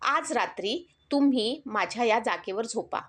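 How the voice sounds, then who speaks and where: A woman voicing a humble, kind young tea seller in a story, speaking gently and earnestly. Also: a little high, expressive, measured pace. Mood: kind, caring.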